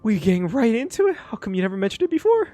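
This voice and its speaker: A man hosting a casual reaction video on a game story, excitable and given to repeating phrases for emphasis. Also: high-pitched, rapid-fire, soft background music.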